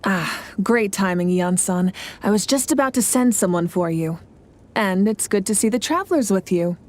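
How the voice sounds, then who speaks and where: A regal female war leader played by a woman in a video game, with a medium-pitched voice. She speaks formally and with measured authority, composed and reassuring. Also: lively intonation, a little mellow, natural voice. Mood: welcoming. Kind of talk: video game dialogue acting.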